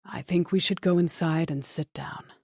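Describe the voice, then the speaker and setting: Woman reading a gentle, grave police detective in his forties. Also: low-pitched, normal speed, breathy edge, no room sound, clean studio sound.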